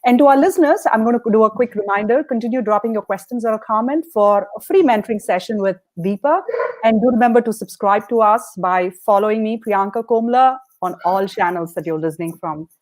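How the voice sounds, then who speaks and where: A woman's voice: medium pitch, poor audio. Upbeat woman podcast host. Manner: upbeat, chatty, laughs easily, fast promotional reminders. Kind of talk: hosting a podcast interview.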